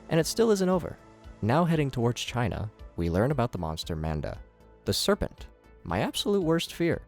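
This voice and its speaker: A man's voice: fairly low pitch, a little mellow. A man giving scripted video commentary in a casual, opinionated, enthusiastic way.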